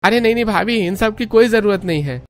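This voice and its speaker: A man's voice: sits high, expressive, brisk. A man voicing a polite, overworked young neighbor in a cartoon story. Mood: obliging. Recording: music under the voice.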